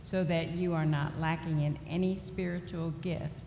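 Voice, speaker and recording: A middle-aged woman reading scripture aloud at a church lectern in a slow, deliberate way. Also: deep voice, steady tone, warm tone. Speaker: lay reader. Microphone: lectern microphone. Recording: echoey church hall with faint noise.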